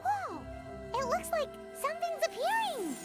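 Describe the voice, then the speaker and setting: A woman voicing a tiny floating female companion in a video game, chirpy and excitable, who speaks of herself in the third person. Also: very high-pitched, measured pace, heavily acted. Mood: excited. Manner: bouncy, exclaiming, talks of herself by name. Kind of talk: video game cutscene acting. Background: background music.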